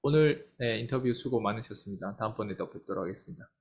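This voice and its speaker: A man's voice: fairly low pitch, fast talker. A man interviewing a guest, asking long, winding questions with hesitations and frequent murmured acknowledgments. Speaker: interviewer, coaching company head. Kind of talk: casual interview hosting.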